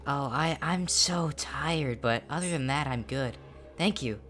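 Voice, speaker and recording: A man's voice: on the high side, unhurried, a bit bright, soft background music. A man using a voice changer to voice a shy, uneasy fourteen-year-old girl who stammers and apologizes. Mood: nervous, polite. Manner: stammering, hesitant.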